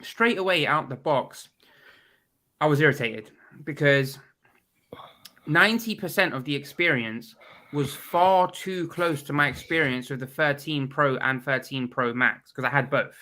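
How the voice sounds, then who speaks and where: Bright-voiced man with a stuffy cold. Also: fairly high pitch, measured pace. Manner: animated and opinionated, blunt. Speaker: guest. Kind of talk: casual chat.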